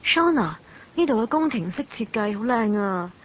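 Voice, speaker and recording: A cheeky, curious young female student in a radio drama. Her voice is fairly high and has a Hong Kong Cantonese accent. The sound is slightly dull. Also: very animated, moderate pace, slight background noise. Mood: playful, curious. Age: young adult. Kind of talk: radio drama narration and dialogue. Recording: dull lo-fi audio.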